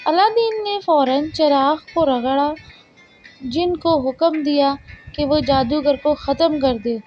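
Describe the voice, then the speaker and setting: A woman's voice: high voice, takes it slowly. A woman reading a scripted children's story as the storyteller. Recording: slightly dull, lo-fi narration track over music. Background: soft background music.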